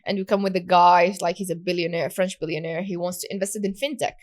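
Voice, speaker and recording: A woman's voice: on the low side, fairly even intonation, fast. Candid Moroccan woman guest. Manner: candid, many fillers and restarts. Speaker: guest entrepreneur. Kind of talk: conversational interview.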